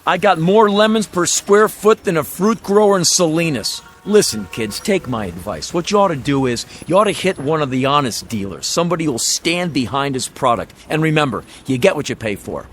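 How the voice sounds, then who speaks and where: An actor in a radio drama playing a fast-talking, folksy used-car salesman who gets flustered and bluntly honest. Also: fairly high pitch, lively intonation, slight background noise, close to an everyday voice. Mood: blunt, exasperated. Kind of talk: old-time radio drama acting.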